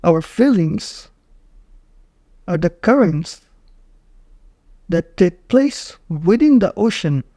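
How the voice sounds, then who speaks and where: A man narrating a guided meditation in short, measured phrases with frequent pauses. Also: sits high, expressive, dark tone, dry recording, clean studio sound.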